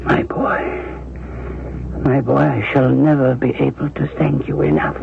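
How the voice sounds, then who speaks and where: An actor playing a frail, ailing old man in a 1970s radio drama, slow, halting and wistful, with a gravelly voice. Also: medium pitch, flat delivery, narrow, tinny audio, a crowd in the background. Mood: awed, wistful. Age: older. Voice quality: gravelly, creaky. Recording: noisy, thin 1970s radio recording.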